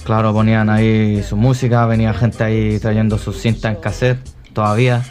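A man's voice: lowish voice, level tone, moderate pace. A man with a Chilean Spanish accent recalling his start in hip hop on a radio show, easygoing and saying "yeah, man". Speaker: guest rapper. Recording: radio studio with loud music bed.

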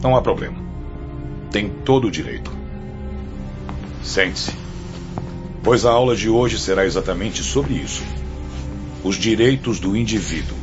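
Kind fatherly brazilian spirit guide, real low voice, patient, radio drama w music. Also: expressive, unhurried, pauses often. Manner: kindly, patient. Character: gentle, fatherly male spirit counselor. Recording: dull lo-fi audio under music. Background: background music.

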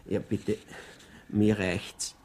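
A man in a radio play acting a middle-aged postman, naive and deferential yet quietly sly, with a husky voice. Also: fairly low pitch, level tone, drawn-out words, exaggerated voice. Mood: dry, artless. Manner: plain-spoken, deferential, artless.

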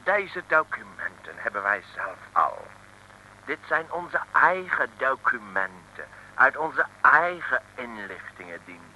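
An actor playing a haughty, irritable ambassador in an old comic radio serial, pompous and dismissive. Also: male voice, medium pitch, sing-song, slow pace, bright, forceful tone, slight background noise, exaggerated voice, rough recording. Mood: indignant. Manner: pompous, swooping into indignation. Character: haughty, irritable American male ambassador, self-important and dismissive. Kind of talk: 1950s-60s comic radio serial acting.

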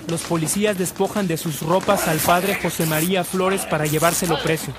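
A man's voice: fairly high pitch, fairly even intonation, moderate pace. A man narrating a radio drama in a solemn, articulate, scripted style. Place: dry studio.

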